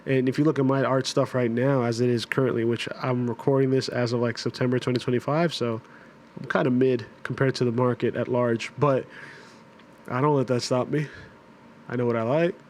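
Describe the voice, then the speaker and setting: A streamer muses out loud in a relaxed, thoughtful way, working through each idea step by step. His voice sits at a middle pitch, with a faint hiss of noise behind it. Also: a little fast. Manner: thoughtful, builds long reasoned points. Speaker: streamer reacting to a video. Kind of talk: casual reflective commentary.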